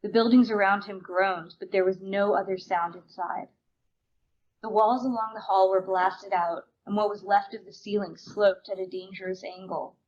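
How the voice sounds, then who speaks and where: A woman's voice: mid-range voice, lo-fi sound. A woman reading a novel excerpt aloud as a dramatic performance, in scripted narration.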